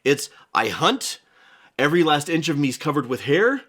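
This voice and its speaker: A man co-hosting a casual film analysis chat as a therapist, earnest and explanatory, quick to laugh. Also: a little high, big pitch swings, a bit bright. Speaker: therapist co-host.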